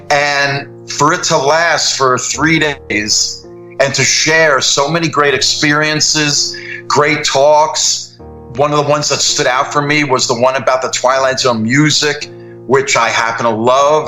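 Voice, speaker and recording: A man with a mid-pitched, sharp and forward voice speaks effusively, as a devoted fan would. He stresses his points, and faint guitar music plays behind him. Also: poor audio. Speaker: enthusiast and lecturer giving testimonial. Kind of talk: spoken fan testimonial.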